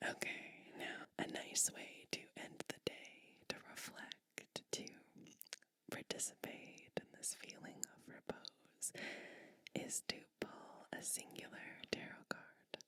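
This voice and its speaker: A woman speaks entirely in a breathy, bright whisper, as in an ASMR roleplay. She moves slowly and attentively from phrase to phrase. She addresses the listener directly in a soothing, unhurried way. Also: no echo. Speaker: ASMR creator playing a gentle witch.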